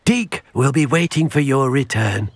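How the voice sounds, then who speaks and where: Gentle, husky old house-elf. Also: male voice, mid-range voice, lively intonation, measured pace, no room sound. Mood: helpful, polite. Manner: speaks of himself in the third person. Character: gentle, earnest elderly house-elf, small male servant creature. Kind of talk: scripted video game character acting.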